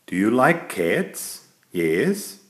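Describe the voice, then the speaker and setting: A man's voice: lowish voice, sing-song, very slow, echoey room, exaggerated voice. A man voicing a vain, chatty, elegant tomcat who playfully tells a bedtime story to small children. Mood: self-satisfied. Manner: playful, self-satisfied, addressing small children.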